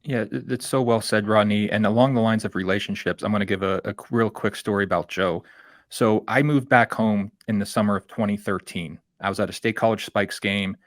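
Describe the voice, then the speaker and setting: Low-voiced man hosting, close and dry. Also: fairly even intonation, fairly quick, no room sound. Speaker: podcast host. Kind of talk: casual conversational interviewing.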